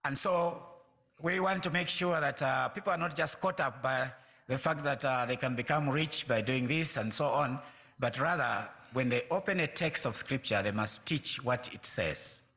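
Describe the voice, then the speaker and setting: Older zambian-born pastor guy, clear bright tone, lots of ums, measured church talk. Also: mid-range voice. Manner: measured, with frequent hesitant fillers.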